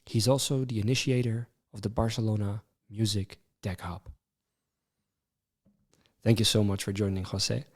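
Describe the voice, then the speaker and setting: A man hosting a podcast, reading a measured prepared introduction and asking interview questions. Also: lowish voice, steady tone. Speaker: podcast host.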